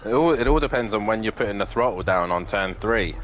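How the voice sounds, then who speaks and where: A man with a low voice and a cold talks fast and swears casually. He walks through his braking and throttle points. A faint hiss sits behind him. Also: animated, a bit bright, muffled recording. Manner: explains driving lines, swears casually. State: has a cold. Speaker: sim racing driver. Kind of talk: casual voice chat before a race.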